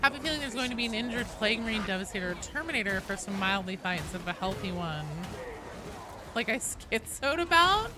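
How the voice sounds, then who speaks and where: A woman's voice: fairly high pitch, big pitch swings, brisk, over music. A woman livestreaming a game, chatting casually in a rambling, sweary, self-deprecating way. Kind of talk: casual gaming stream chat and commentary.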